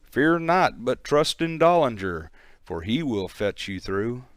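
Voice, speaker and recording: A man's voice: medium pitch, sing-song, slow pace, no echo, clean studio sound. A man voicing a brave, reassuring canal pilot in a storybook poem, declaiming boldly. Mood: steadfast, reassuring.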